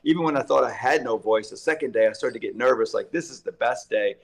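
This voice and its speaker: Hoarse guy rambling on in a casual chat, regular pitch. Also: fast talker. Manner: rambling, thinks aloud. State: slightly hoarse. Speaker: co-host.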